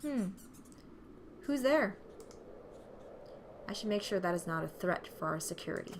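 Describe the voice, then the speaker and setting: A woman's voice: mid-range voice, expressive, measured pace, slight background noise. Woman voicing an eager, earnest little boy explorer. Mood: self-important, curious. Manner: eager, innocent, self-important.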